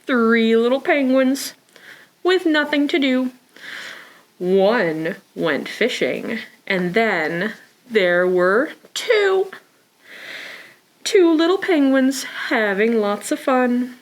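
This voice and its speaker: A woman's voice: a little high, drawn-out words. A woman reading picture books aloud for a preschool storytime, speaking expressively and simply, as if to young children. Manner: expressive, with sighs, gasps and exclamations; simple child-directed phrasing. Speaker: children's librarian storytime presenter.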